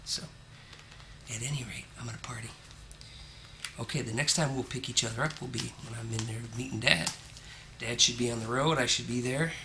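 A man's voice: medium pitch, brisk, vocal fry, long pauses, sharp tone, slight background noise. A man filming his own road trip, chatting casually to the camera with joking asides. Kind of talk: casual self-narration to camera. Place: on the road and in a parking lot.

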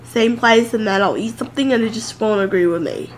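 A woman's voice: fairly high pitch, fairly quick, slight background noise. Chatty congested woman in her thirties. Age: 30s. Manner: rambling, chatty, many fillers. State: congested, nasal. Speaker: vlogger. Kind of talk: casual sit-down chat to camera. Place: home office, indoors.

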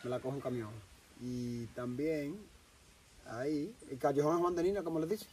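A man's voice: fairly high pitch, normal speed, warm tone, husky, poor audio. A man with a rural Dominican Spanish accent, a farmer talking plainly about his life in an outdoor interview. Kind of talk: casual chat.

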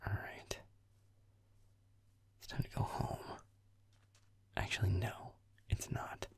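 A man playing a grumpy, foul-mouthed young werewolf boyfriend, muttering sullenly in a breathy, gravelly whisper in an intimate roleplay audio. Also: takes it slowly, natural voice. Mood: irritated muttering. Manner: sullen muttering, swearing, sarcastic.

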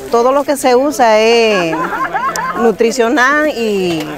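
A woman's voice: fairly high pitch, expressive, slow pace. A woman vendor casually presenting her baked goods at an outdoor market. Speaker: bakery vendor. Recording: outdoor market chatter behind.

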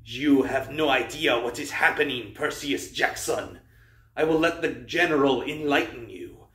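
A man's voice: mid-range voice, measured pace, fairly bright tone, natural voice. A man voicing a menacing monster man posing as a stern teacher, with cold, clipped, formal commands. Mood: gloating. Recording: roomy, from a distance.

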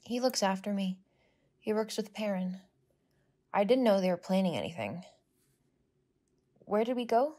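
An actress plays a frightened young girl who is hurt. She speaks timidly and hesitantly at a medium pitch, in the style of an audio drama. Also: animated, moderate pace, long pauses, warm tone, lightly acted.

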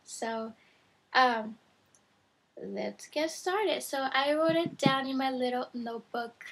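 A young woman whose first language is Japanese, teaching simple phrases in a casual video lesson from her bedroom, speaking slowly and repeating each phrase twice on a webcam mic. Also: high voice, vocal fry. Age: young adult. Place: bedroom, indoors. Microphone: webcam at desk.

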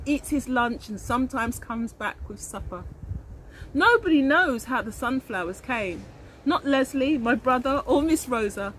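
A middle-aged woman teacher with a fairly high voice tells a children's story. She reads expressively and talks straight to the children. She is recorded outdoors with some background noise. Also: fairly bright tone, poor audio. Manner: addresses children directly, asks them questions. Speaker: early years teacher reading to children. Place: outdoors in a leafy garden.

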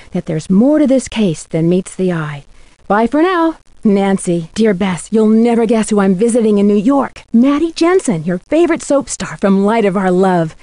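A woman's voice: mid-range voice, warm tone, no room sound, natural voice. A young American woman voicing an inquisitive, teasing amateur detective in a video game, in scripted lines. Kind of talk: scripted game dialogue and letter narration.

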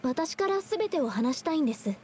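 Shy, high-voiced young painter girl. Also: animated, fairly quick, a crowd in the background. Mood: earnest. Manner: soft, hesitant, polite. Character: shy, earnest, gentle young woman painter. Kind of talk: Japanese anime game voice acting.

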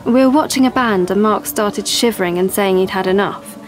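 A woman's voice: medium pitch, brisk, natural voice. A woman playing an assertive, persuasive young woman in an audio play, with an insistent, explanatory way of talking. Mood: confiding. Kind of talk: audio play monologue and dialogue.

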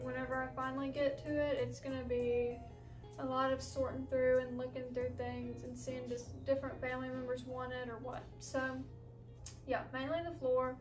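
A woman's voice: high voice, little pitch movement, moderate pace, a little mellow, distant mic, faint music behind. A young woman, chatting casually through a home cleaning vlog with lots of ums. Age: young adult. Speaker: vlogger. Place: small house rooms, indoors. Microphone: camera on a stand, from across the room.

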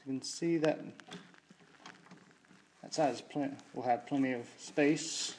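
A man's voice: medium pitch, steady tone, very noisy. A man giving a casual how-to walkthrough in a garage, talking plainly with frequent restarts as he films on a handheld phone. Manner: plain, halting, restarts mid-sentence. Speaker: DIY hobbyist showing a build. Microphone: handheld phone camera.

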